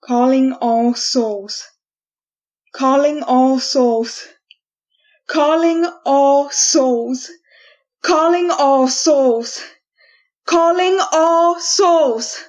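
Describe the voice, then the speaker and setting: High-voiced, haunted condemned woman. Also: darkish tone, muffled recording. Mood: incantatory. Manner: theatrical, slow, deliberate. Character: condemned innocent woman prisoner, desperate, haunted, telling her tale. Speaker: actor in a radio drama. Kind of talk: retro 1940s radio drama acting.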